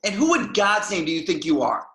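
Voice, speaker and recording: A man playing a gruff, awkward paleontologist in a script table read, blunt and halting. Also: sits high, sing-song, sharp tone, exaggerated voice. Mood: indignant. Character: gruff, indignant male paleontologist, awkward with strangers. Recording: roomy, echoing home recording.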